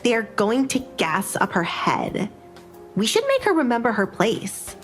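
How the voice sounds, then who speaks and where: A woman's voice: a little high, big pitch swings, measured pace, voice pushed up. A woman voicing a vain, spiteful young noblewoman in an audio drama, with music behind her. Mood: bitter, scheming.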